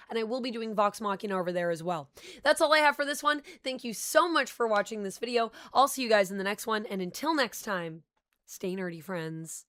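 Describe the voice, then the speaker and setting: A woman's voice: medium pitch, sharp tone, clear, resonant tone, no room sound. A young woman hosting a casual review vlog, talking in a chatty, enthusiastic way while a little sick, her voice slightly off. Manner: chatty, enthusiastic fan talk. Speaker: channel host reviewing a show.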